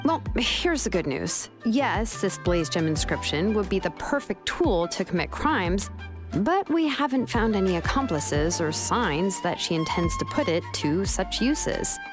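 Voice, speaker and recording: A young expert engraver in a video game, with a medium-pitched voice over soft background music. She is laid-back and pragmatic, laying things out matter-of-factly and pausing to think. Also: big pitch swings. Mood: serious, explanatory. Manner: matter-of-fact, unhurried, hesitant pauses. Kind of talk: video game voice acting, explanatory dialogue.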